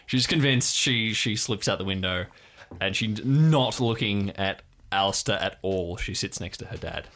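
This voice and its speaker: A man runs an improvised tabletop drama as game master. His voice sits on the low side, and he narrates slowly and informally, pausing often as he pieces the scene together. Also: fairly bright tone.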